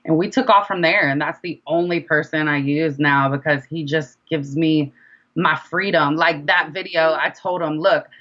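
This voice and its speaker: A bright, mid-pitched voice with a slightly dull recording. The speaker is an independent rapper answering as an interview guest, loose and chatty, often doubling back on a phrase to stress it. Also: fairly even intonation, normal speed. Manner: chatty, informal, often repeats phrases for emphasis. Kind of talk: casual conversational interview.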